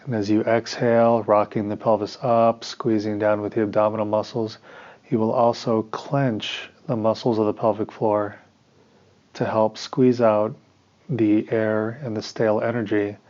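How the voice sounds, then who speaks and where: A man with a fairly low voice teaches a guided meditation. He gives instructions in short, measured phrases, leaving pauses between them. The sound is somewhat muffled and lo-fi. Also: little pitch movement, a little mellow.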